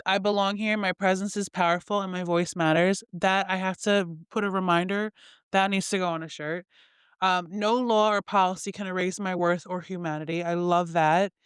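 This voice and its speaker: A woman's voice: medium pitch, smooth, dry recording. Chatty, self-mocking woman in a very dry room. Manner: chatty, self-mocking, laughs at her own slips. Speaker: podcast host. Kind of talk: casual solo podcast, reading affirmations aloud. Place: her bedroom, in bed.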